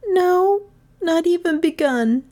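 A woman narrator voices a timid little girl in a children's storybook, honest and ashamed. Her voice is lifted high, meek and a little halting. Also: expressive, a little mellow, heavily acted. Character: ashamed, slow-thinking little schoolgirl, timid but honest. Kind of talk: children's audiobook character voice.

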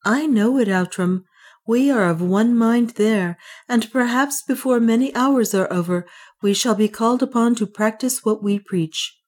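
A woman's voice: fairly high pitch, unhurried, darkish tone, voice pushed up. A woman reading an audiobook, voicing an older male servant who is resigned and loyal, in a solemn, earnest manner.

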